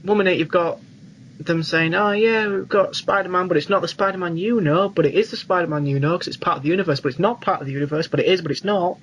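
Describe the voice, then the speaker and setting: A man's voice: high voice, quick pace, a bit bright, highs cut off. A man co-hosting a podcast, giving his opinions in casual conversation. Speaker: podcast co-host. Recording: clear background noise.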